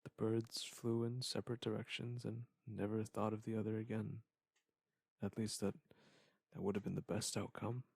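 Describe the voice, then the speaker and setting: A man acting a wistful former lover in an intimate scripted monologue, speaking in a halting, reflective way with a husky, gravelly voice. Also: on the low side, flat delivery, a little mellow, dry recording. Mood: sad, reflective.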